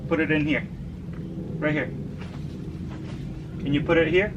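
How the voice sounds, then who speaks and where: A man from El Salvador, in his thirties, talks through a casual garden vlog outdoors. His voice sits a little high. He shifts between explaining his planting and gently coaxing a toddler. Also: steady tone, measured pace, lo-fi sound, noisy background. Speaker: home gardener vlogger.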